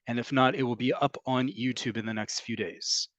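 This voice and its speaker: A man's voice: mid-range voice, fairly even intonation, moderate pace, fairly bright tone, no echo. A man from North America teaching an online class over a video call, explaining at length in a conversational way with frequent ums. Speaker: Hebrew teacher.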